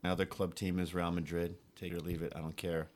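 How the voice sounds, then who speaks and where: A man's voice: sits low, level tone, quick pace, background noise. A man, telling his life story casually as a podcast guest. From Geneva, Switzerland and United States.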